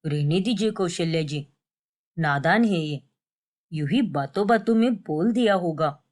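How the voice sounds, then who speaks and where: A woman's voice: fairly low pitch, lively intonation, a little slow, a little mellow, pitched below the performer's own voice. A woman voicing a humble, forgiving male temple priest in a cartoon story, polite and conciliatory. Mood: polite, mild.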